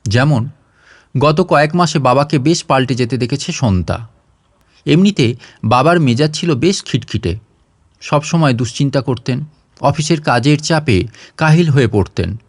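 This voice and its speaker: A man's voice: on the high side, dry recording, studio quality. A man reading a scripted short story as an audio narrator.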